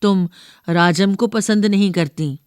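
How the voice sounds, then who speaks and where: A woman's voice: mid-range voice, slow pace, clean tone, no echo, studio quality, lightly acted. A woman voicing an eager, impatient young grandson who is easily annoyed, in a storybook reading. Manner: insistent, excitable.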